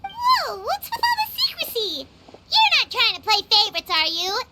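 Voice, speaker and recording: A woman voicing a small, childlike fairy companion in a video game, squeaky, cheeky and excitable. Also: normal speed, heavy background noise, full character voice. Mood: cheeky, nosy. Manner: cheeky, exclamatory, speaks of self in third person. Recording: loud game music behind. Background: music.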